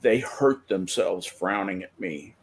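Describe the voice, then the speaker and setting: A man from Belgium chatting casually on a podcast, telling animated stories with stumbles and restarts. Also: sits low, slow pace, creaky. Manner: animated storyteller who stumbles on words. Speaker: podcast co-host. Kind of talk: casual chat.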